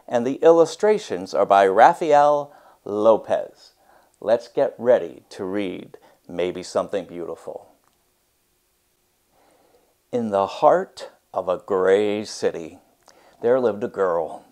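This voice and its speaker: A man teaching and reading a storybook aloud with deliberate, emphatic, expressive phrasing. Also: lowish voice.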